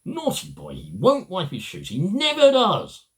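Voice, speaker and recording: A man reading as an earnest, animated little girl. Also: sits high, measured pace, voice pushed up, heavily acted. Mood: matter-of-fact. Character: nine-year-old girl, earnest and matter-of-fact.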